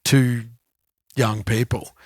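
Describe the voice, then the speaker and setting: A man speaks at a middling pitch in a dry recording with little room sound. He is co-hosting a podcast, talking in a casual, conversational way and drawing on his own anecdotes. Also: little pitch movement, takes it slowly, a little mellow, no echo. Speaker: podcast co-host. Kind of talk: casual chat, personal anecdotes.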